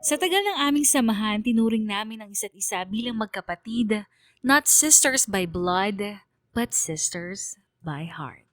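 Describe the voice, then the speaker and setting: A woman's voice: medium pitch, expressive, measured pace. A woman with a Filipino accent narrating a scripted radio story in an earnest, sentimental way.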